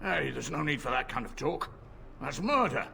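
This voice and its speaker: An actor playing a greedy, stubborn middle-aged tavern keeper in a video game, wheedling and then cowardly. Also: male voice, a little high, sing-song, moderate pace, vocal fry, sharp tone, a little hiss or hum, heavily acted. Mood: nervous. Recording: game audio with faint ambience.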